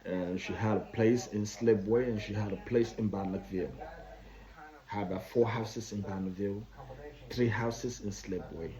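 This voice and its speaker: A man in his thirties with a Liberian accent, telling his own story in a halting way, often repeating and restarting phrases. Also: fairly low pitch, crowd noise. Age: 30s. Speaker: vlogger. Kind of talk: personal storytelling. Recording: dull lo-fi recording.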